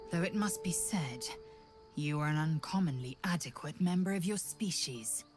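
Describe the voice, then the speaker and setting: A proud, disdainful alien warrior woman for a fantasy video game. Her voice sits low and she takes her time, with formal, severe phrasing that carries haughty authority. Faint music plays underneath.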